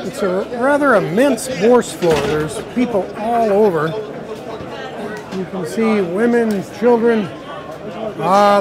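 A man hosts a tour of a busy convention hall, his voice fairly high and his tone upbeat and chatty. Loud crowd chatter from the hall fills the background. He talks as an interviewer, keeping things conversational. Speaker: interviewer and host. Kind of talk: convention walkthrough narration and interview.

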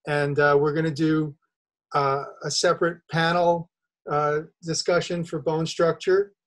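A middle-aged man hosting a podcast over a video call, explaining his ideas in a measured, thoughtful way. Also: fairly high pitch, level tone. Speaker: podcast host. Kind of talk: interview conversation. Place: home studio room, video call. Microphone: video call webcam.

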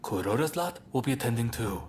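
An actor playing a composed, diplomatic young samurai leader in a video game cutscene, speaking in a measured, polite, thoughtful way. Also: male voice, mid-range voice, animated, darkish tone, slight background noise, close to an everyday voice. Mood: calm, persuasive.

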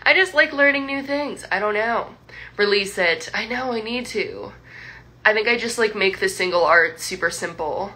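A woman's voice: mid-range voice, normal speed, sharp tone. A young woman livestreaming from home, chatting to fans in a rambling, laughing way full of likes and restarts. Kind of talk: casual chat with viewers. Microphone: phone livestream.

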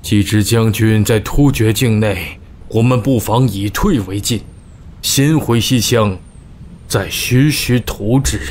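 An actor playing a shrewd, deferential royal advisor in a costume audio drama, speaking in a measured, deliberate, scheming way. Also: male voice, on the low side, a little mellow, a little hiss or hum. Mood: cautious counsel. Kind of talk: Chinese costume radio drama acting.

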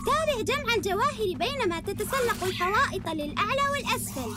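A woman voicing a plucky, determined young child superhero in a dubbed children's cartoon game, earnest and energetic. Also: very high voice, fast. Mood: encouraging. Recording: loud music behind the voice.

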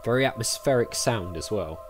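A man's voice: fairly low pitch, expressive, fairly quick. Chatty man doing game commentary. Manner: chatty, reacting aloud. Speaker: gaming video host. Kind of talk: casual let's play commentary. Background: game music.

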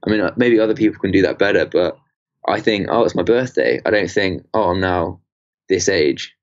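A man's voice: low-pitched, fairly quick, muffled recording. A man in his twenties from England, a podcast guest talking casually with many fillers and restarts. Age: 20s. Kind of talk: casual interview chat.

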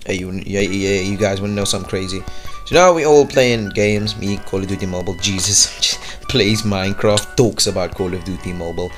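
A man's voice: low-pitched, brisk, faint music behind. A young man casually commentating a mobile game, rambling and joking in slangy chat. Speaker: gaming YouTuber.

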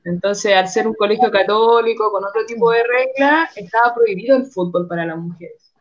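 A woman's voice: medium pitch, fairly quick. A woman in her twenties with a Chilean accent, giving casual interview answers as a guest footballer over a video call. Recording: dull, lo-fi video call audio.

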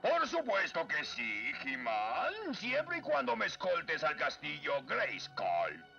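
A man's voice: on the high side, wildly swooping pitch, slow, creaky, exaggerated voice. A man voicing a mocking, scheming skull-faced sorcerer in a Latin American Spanish cartoon dub, theatrical and taunting. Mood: gloating, mocking. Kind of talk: 1980s cartoon villain dubbing. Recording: dull old cartoon soundtrack with loud music.

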